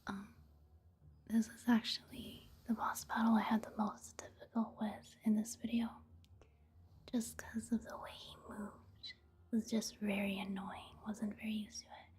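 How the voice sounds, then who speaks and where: A woman giving soft-spoken ASMR game commentary in a hushed, breathy whisper, in a gentle, casual, unhurried manner. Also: medium pitch, little pitch movement. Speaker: gaming ASMR video host.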